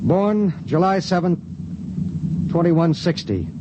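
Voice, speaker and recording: Anguished spaceship pilot, animated delivery. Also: male voice, on the high side, noisy background. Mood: tense, grave. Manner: halting, earnest, dramatic. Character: anguished, duty-bound spaceship pilot, grimly honest man. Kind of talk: 1950s radio drama acting and narration. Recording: old radio broadcast with music behind.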